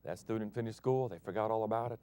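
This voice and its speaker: A man preaching a broadcast sermon as pastor, swinging between loud emphatic declarations and soft hushed phrases. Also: fairly low pitch, fast talker, no room sound. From Thailand.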